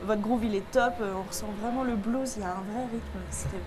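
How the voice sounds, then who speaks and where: A woman's voice: fairly high pitch, steady tone, fairly quick, noisy background. A woman giving her opinion as a columnist on a live talk show, praising with emphatic repeats. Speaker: music columnist. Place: live event on a boat.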